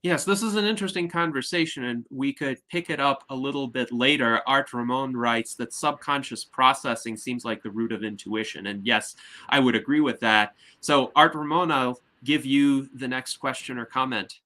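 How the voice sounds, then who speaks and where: A man hosting an online discussion, talking in a measured, articulate way over a video call. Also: medium pitch, sharp tone. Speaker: host.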